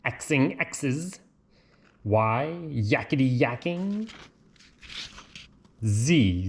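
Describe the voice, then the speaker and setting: A man reads a children's storybook aloud in a deliberate, lilting way, with casual asides. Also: fairly low pitch, darkish tone, slight background noise. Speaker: reader of picture books.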